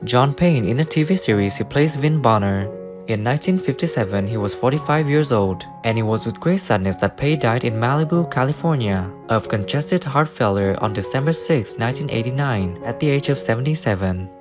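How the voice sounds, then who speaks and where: A man's voice: mid-range voice, expressive, a little fast. A man reading scripted biographical narration. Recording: music under the voice. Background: guitar music.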